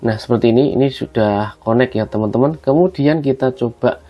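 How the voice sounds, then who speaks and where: A man's voice: medium pitch, fairly quick, dull audio. A man with a Javanese-region Indonesian accent gives a casual hands-on tutorial, explaining things to viewers as a product reviewer talking to friends. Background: background music.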